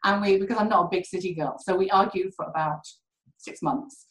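A British woman telling candid stories in an interview, animated and quick to laugh. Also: mid-range voice, reverberant, rough recording. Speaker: core facility director. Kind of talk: candid conversational storytelling.

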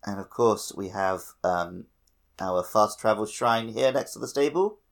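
A man's voice: on the low side, unhurried, vocal fry. A man co-hosting a casual gaming podcast, rambling off into dry asides. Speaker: podcast co-host.

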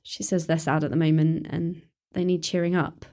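A young woman acting an earnest, polite teenage girl in a radio play, dutiful and quietly worried. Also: low voice, level tone, moderate pace. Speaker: Emma, teen neighbour.

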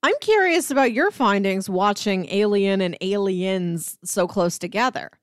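A woman's voice: mid-range voice, lively intonation, a little slow, clean tone, no echo, studio quality. A woman co-hosting a podcast in casual, conversational chat. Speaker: podcast co-host.

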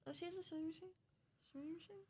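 A young woman co-hosting a casual podcast, excitable and gushing. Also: extremely high pitch, rapid-fire. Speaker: podcast co-host. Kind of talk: casual chat.

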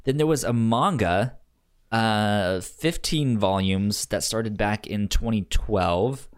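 A man co-hosting a podcast in casual conversation, halting and given to chuckling as he goes through his notes. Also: lowish voice, clear, resonant tone. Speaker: podcast co-host.

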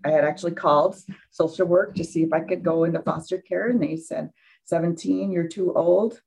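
A woman's voice: fairly low pitch, steady tone, fairly quick, gravelly, a little mellow, husky, rough recording. A woman guest giving candid personal testimony in a subdued way. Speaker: interview guest.

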